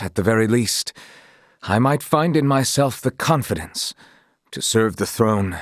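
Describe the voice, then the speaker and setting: Low-voiced, earnest fantasy knight. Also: male voice, slightly rough, no room sound, clean studio sound, exaggerated voice. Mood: hesitant, humble. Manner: formal, archaic, measured speech. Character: earnest, honorable young male fantasy knight, formal and brooding. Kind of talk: dramatic video game voice acting.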